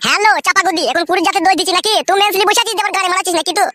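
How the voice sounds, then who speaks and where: Cartoon young husband , male actor: high voice, breakneck delivery, coarse, whiny, hot-tempered. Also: fairly even intonation, racing, background noise. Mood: angry, frustrated. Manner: coarse, swearing, badgering. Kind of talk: crude comedy cartoon dialogue.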